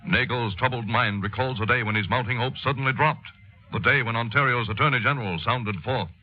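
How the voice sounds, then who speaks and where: A man's voice: mid-range voice, fairly even intonation, lightly acted. A man playing a grave, declamatory newsreel narrator in a 1930s radio news dramatization, stressing words with solemn weight. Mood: grave, dramatic. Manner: portentous, declamatory, drawn-out emphasis. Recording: muffled old 1930s radio recording, music.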